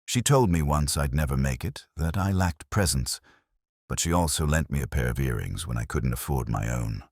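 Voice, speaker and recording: Casting: man reading as timid young understudy woman for a detective story, soft, hesitant, low-pitched. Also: animated, moderate pace, no room sound, clean studio sound, full character voice. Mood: wistful, uneasy.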